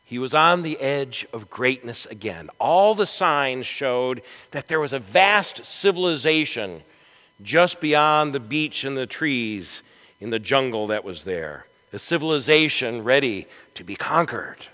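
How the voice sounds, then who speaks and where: A man preaching a storytelling sermon in a church, with a dramatic, deliberate delivery and emphatic swells. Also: mid-range voice, lively intonation, bright, forceful tone. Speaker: pastor preaching. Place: large church sanctuary, from the chancel. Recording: echoey church hall with long reverb.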